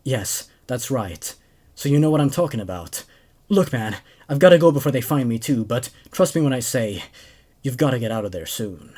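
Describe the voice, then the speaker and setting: A man's voice: mid-range voice, animated, a little mellow, lightly acted. Man acting out a frantic, urgent male caller. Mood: urgent, alarmed. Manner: urgent, hurried, pleading. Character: frantic male acquaintance phoning a warning at night.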